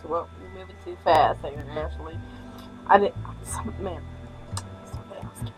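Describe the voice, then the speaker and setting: A woman's voice: sits low, slow, poor audio. A woman streaming a visual novel, reading it aloud with stumbles and joking offhand asides. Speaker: game streamer. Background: music.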